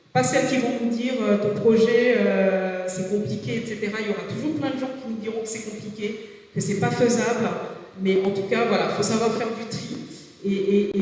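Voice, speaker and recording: A woman in her forties, a native Swahili speaker, giving a halting talk as a career coach, hesitating often and restarting her sentences. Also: medium pitch, darkish tone. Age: 40s. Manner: hesitant, many filler sounds and restarts. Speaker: business coach speaking at a jobs fair. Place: large gymnasium hall. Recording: echoey gym hall from far off.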